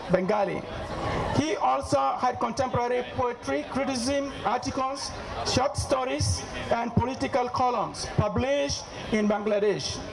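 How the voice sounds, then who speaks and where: A man acting as emcee, introducing speakers at an outdoor rally in a brisk way, with slips and restarts. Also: very high voice, gravelly. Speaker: event emcee. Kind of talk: announcing speakers. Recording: noisy crowd at outdoor event.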